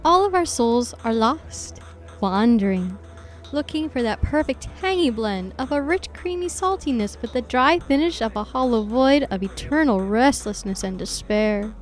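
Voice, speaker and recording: A woman acting as an eerie, deadpan advertiser in a morbid mock radio commercial. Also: normal speed, soft background music, natural voice. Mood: morbid, selling. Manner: morbid, deadpan sales pitch. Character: eerie female advertiser of cheese for the dead.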